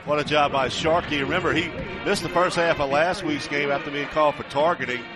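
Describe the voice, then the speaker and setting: A man's voice: a little high, steady tone, fairly quick, music in the background. An American man working as a color commentator, giving conversational analysis during a live football broadcast.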